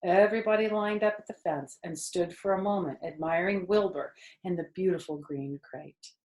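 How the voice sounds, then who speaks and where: A woman's voice: lowish voice, normal speed. Woman reading a storybook in an echoey room. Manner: expressive, conversational asides to listeners. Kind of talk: children's storybook read-aloud. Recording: roomy echo.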